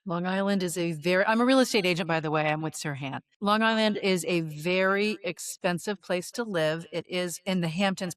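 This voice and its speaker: A woman real estate agent speaking as a guest in a matter-of-fact, straightforward way. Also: fairly low pitch. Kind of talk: casual panel chat.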